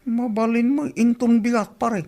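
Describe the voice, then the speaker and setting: A man's voice: very high-pitched, little pitch movement, moderate pace, darkish tone. A man acting a friendly village drinking buddy in an Ilocano comedy radio drama, with a Filipino Ilocano accent. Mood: friendly, insistent.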